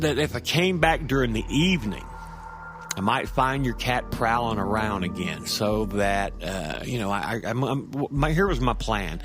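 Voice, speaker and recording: A man's voice: medium pitch, natural voice. Stammering, earnest everyman storyteller played by a man. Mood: earnest, hesitant. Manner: halting, stammering, folksy and conversational. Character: hesitant, earnest everyman recounting a creepy cat hunt. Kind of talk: first-person audio drama monologue.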